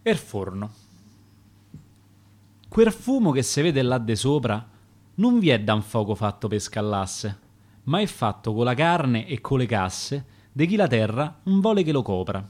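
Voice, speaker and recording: A man's voice: mid-range voice, big pitch swings, unhurried, darkish tone. A man with a Roman accent reciting his own dialect sonnets for radio in a rhythmic, dramatic way. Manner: rhythmic, dramatic verse delivery.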